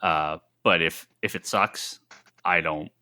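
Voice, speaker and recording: A man chatting casually as a podcast co-host, thinking aloud in a halting, rambling way. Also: sits low, steady tone, sharp tone. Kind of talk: casual chat about comics and films.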